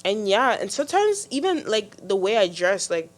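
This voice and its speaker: A teenage girl with a deep voice, hosting a youth podcast in casual talk, full of fillers and restarts. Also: sing-song, normal speed. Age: teens. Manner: chatty, many fillers and restarts. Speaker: podcast host. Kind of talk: casual discussion.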